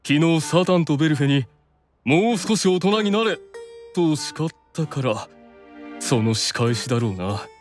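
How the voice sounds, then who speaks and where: A man voicing a stern but caring elder-brother demon in an anime audio drama, measured, authoritative and sometimes exasperated. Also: a little high, animated, faint music behind. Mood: analytical, irritated.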